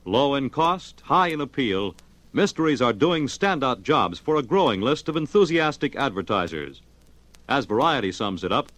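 A man delivering a polished, emphatic 1950s radio sales pitch as a network announcer. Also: a bit bright, no echo.

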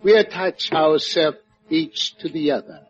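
Actor doing gentle loyal island musician from old radio, highish voice, broken english, music behind. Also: male voice, a little slow, muffled recording, close to an everyday voice. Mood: fond. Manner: quaint broken English, singsong storytelling. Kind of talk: 1950s radio drama acting.

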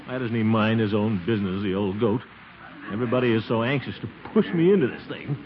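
An actor playing a pompous, flustered middle-aged bachelor in 1940s radio comedy, blustering and stammering. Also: male voice, fairly low pitch, quick pace, crowd noise, close to an everyday voice. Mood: flustered, evasive. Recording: muffled 1940s radio recording.

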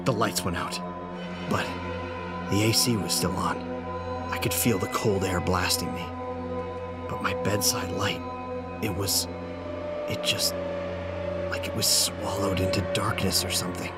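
A man's voice: deep voice, fairly even intonation, measured pace, long pauses. An actor playing a frightened, armed man trapped at home, telling his horror story in intense, fragmented bursts. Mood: shaky, rising panic. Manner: intense, breathless self-talk with short fragmented phrases. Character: panicked man trapped at home by an unseen intruder, armed, frantic. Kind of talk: first-person horror audio drama narration. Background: music.

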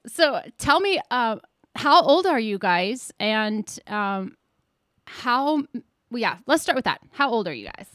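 A woman's voice: on the high side, big pitch swings, slow, a bit bright, no room sound, studio quality. A woman in her thirties hosting a podcast, talking casually and laughing often as she introduces and interviews guests. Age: 30s. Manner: chatty, upbeat, laughs often. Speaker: podcast host.

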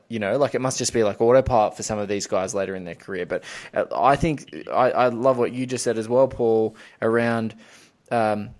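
A man with a fairly low voice hosts a film podcast. He talks casually and effusively, rambling from one thought into the next. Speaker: podcast host.

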